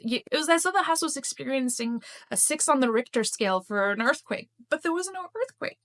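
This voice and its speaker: A middle-aged woman and host of her own channel, telling a personal story to camera, emotional and halting, with frequent restarts. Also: high voice, expressive, fairly bright tone. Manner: emotional, halting, many restarts and self-interruptions. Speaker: spiritual YouTube channel host.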